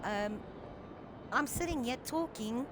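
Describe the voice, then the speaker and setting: A woman's voice: fairly high pitch, lively intonation, takes it slowly, heavy background noise. A South African woman whose first language is Afrikaans, chatting casually as a game streamer in a rambling way with many fillers, speaking into a headset. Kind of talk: casual live-stream chat. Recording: voice over loud game music.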